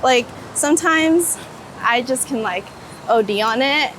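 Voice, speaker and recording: High-voiced woman, chatting on the street. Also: expressive, measured pace, a bit bright, low-quality recording. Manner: enthusiastic, informal, peppered with "like". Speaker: street photographer being interviewed. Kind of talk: casual interview chat while walking. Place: outdoors on a city street. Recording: outdoor city street traffic noise. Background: street ambience and passing vehicles.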